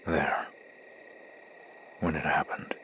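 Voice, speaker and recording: A man playing a somber, grief-worn military operative in an animated horror series, speaking in terse, subdued phrases. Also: slow pace, a little hiss or hum. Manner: terse, subdued, flat delivery. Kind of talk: analog horror animation acting.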